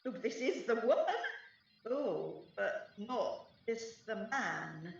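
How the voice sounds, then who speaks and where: Soaked in echo and a little distant, this is a mischievous fairy sprite acted by a woman in a radio Shakespeare play. The high voice swings freely up and down, relishing every line of verse with gleeful theatricality. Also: animated, slow pace, creaky, full character voice. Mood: eager. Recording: very reverberant, distant, slightly dull sound.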